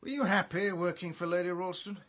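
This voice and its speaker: An actor playing a shrewd, wry, middle-aged British police detective in a radio drama, with an urbane, wordy manner. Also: male voice, high-pitched, fairly quick, a bit bright. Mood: questioning.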